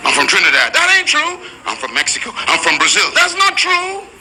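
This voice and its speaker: A man's voice: sits high, wildly swooping pitch, brisk, pushed and bright, a little hiss or hum. A man preaching a sermon to a room full of people, emphatic and rhythmic. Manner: emphatic, preaching to a live crowd. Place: large room with an audience.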